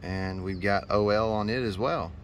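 A man's voice: on the low side, normal speed. A man in his thirties with an American accent, explaining things casually and upbeat as a technician hosting an instructional vlog. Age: 30s. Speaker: HVAC technician and video host. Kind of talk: informal instructional vlog to camera. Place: outdoors by a building and in utility spaces. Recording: clear background noise, outdoors and utility room.

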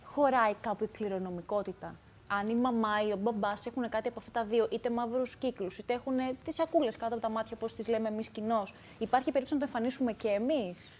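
Young woman host on a tv set, slightly high voice, asking the guest stuff. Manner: attentive, asks plain questions. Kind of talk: TV interview. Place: bright TV studio. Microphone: lapel mic.

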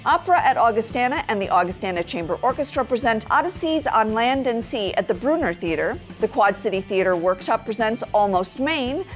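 American woman reading a tv events rundown, slightly high voice, bit of music behind. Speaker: TV segment presenter. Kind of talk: scripted events calendar narration. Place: TV studio.

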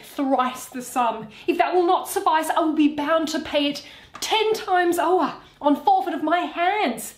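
Casting: desperate, imploring young gentleman of the stage, acted by a woman, very high voice, echoey bare room. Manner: urgent, imploring. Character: desperate, impassioned young gentleman pleading for his friend. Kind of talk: dramatic Shakespeare reading.

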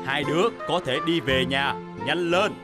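A strict officer voiced by a man in a cartoon, scolding in a somewhat raised voice. Loud music plays behind. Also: fairly high pitch, normal speed, close to an everyday voice. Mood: stern. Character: stern adult traffic officer. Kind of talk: cartoon dubbing.

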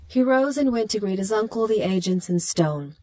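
A woman narrating a scripted horror story in a measured, dramatic storytelling manner. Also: medium pitch, lively intonation, a little mellow, no echo.

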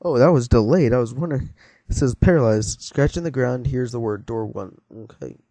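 A man's voice: mid-range voice, normal speed, warm tone, no echo. A teenage boy giving casual, rambling commentary while playing a game, easily startled and joking with viewers. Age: teens. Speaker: gameplay commentator. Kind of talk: casual let's-play commentary.

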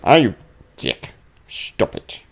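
A man giving casual, thinking-aloud commentary on a horror game, grumbling and muttering with sudden exclamations. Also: slow. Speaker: let's play gamer.

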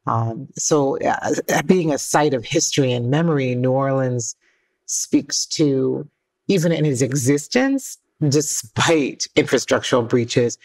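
A woman's voice: rumbling low, takes it slowly, darkish tone. A woman organizer speaking earnestly and reflectively as an interview guest.

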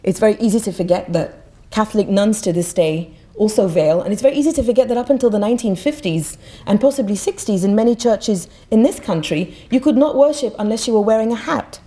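Woman from Egypt, middle-aged, podium speaker, mellow voice, fervent and emphatic delivery. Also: mid-range voice, fast talker. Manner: passionate, emphatic, repeats words when restarting. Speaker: guest speaker. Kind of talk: public talk at a podium. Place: auditorium stage. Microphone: podium mic.

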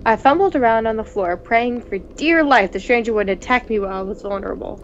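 A woman co-hosting a gaming video, reading visual novel text aloud in a playful, expressive way with casual asides. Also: on the high side, a little fast. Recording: dull, noisy home recording.

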